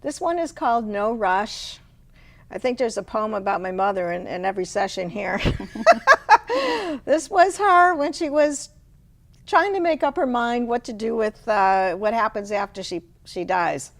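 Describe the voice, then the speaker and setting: A woman hosting a poetry program, reading verse expressively and deliberately, and chatting casually between pieces. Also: mid-range voice, animated. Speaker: host and poet.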